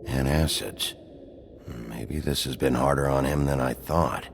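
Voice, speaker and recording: A man playing a gruff, brooding, protective sheriff with a gravelly voice in a noir video game. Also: very deep voice, fairly even intonation, a little slow, noisy background, exaggerated voice.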